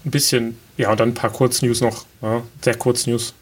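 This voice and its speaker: A man's voice: medium pitch, level tone, moderate pace. Chatty man with a mid-range voice. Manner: chatty, joking, many fillers. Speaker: podcast co-host. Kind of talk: casual chat.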